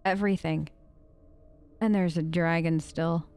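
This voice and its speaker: A woman's voice: lowish voice, steady tone, a little slow, darkish tone, soft background music. A woman improvising in-character commentary for a role-play let's play, conversational and hesitant as she thinks aloud.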